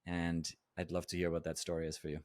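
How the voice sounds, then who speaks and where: A man hosting a podcast, asking questions in a calm, measured way. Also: low-pitched, no room sound, studio quality. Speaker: podcast host. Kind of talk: interview questions.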